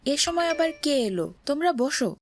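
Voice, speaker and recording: A woman's voice: sits high, animated, fairly quick, faint music behind. A woman voicing a devout, friendly young boy in a cartoon, earnest and courteous. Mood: lively, surprised. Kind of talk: cartoon drama voice acting.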